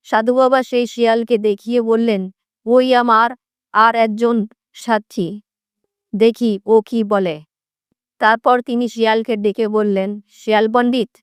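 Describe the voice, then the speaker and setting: A woman's voice: a little high, clear, resonant tone, no room sound. A woman reading scripted narration for a children's cartoon fable.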